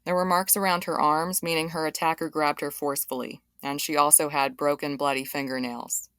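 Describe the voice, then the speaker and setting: A female homicide detective on the witness stand in an audio drama. Her voice is low and recorded dry. She gives formal, factual testimony without fuss. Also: level tone, fairly quick, fairly bright tone, no echo. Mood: matter-of-fact. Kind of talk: courtroom audio drama acting.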